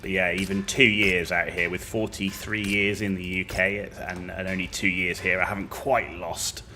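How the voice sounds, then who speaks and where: A British man in his forties, giving relaxed, musing gameplay commentary with frequent fillers. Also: low voice, normal speed. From United Kingdom. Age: 40s. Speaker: gaming video host. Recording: loud game music behind the voice. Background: loud game music.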